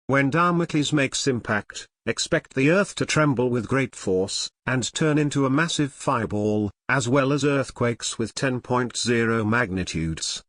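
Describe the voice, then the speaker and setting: A man delivers scripted emergency announcements in a formal, measured way. His tone is solemn and orderly, like an official broadcaster. The sound is dry, with little room around the voice. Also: mid-range voice, a bit bright, dry recording.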